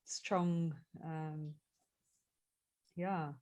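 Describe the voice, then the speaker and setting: Woman guest, slow and halting, searching for words; healer type. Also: sits low, level tone, warm tone. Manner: searching, hesitant, halting with fillers. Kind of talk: spiritual conversation.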